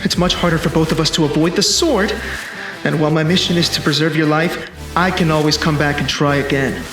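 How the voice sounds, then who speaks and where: An actor playing a commanding, cocky time traveler in an action sci-fi film, terse and insistent. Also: male voice, fairly high pitch, steady tone, brisk, natural voice. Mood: urgent, wry. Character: urgent male time-traveling protector from the future, commanding and cocky. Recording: roomy sound with music behind.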